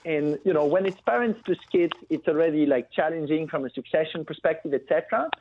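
A man's voice: on the high side, brisk, a little hiss or hum. A man from Belgium speaking as a phone-in interview guest, explaining things conversationally with many filler phrases. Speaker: phone guest, family business entrepreneur. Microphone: phone call. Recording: muffled narrow phone line.

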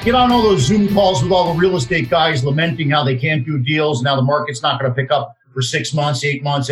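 A man's voice: a little high, a bit bright. A man in his fifties hosting a talk radio show in a direct, self-assured, salesy way. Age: 50s. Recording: roomy, echoey sound.

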